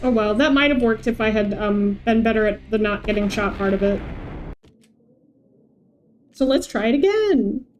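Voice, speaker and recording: A woman's voice: a little high, expressive, normal speed, long pauses, darkish tone, slight background noise. A woman streamer chatting casually and playfully over live gameplay, chirpy and whimsical. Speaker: game streamer.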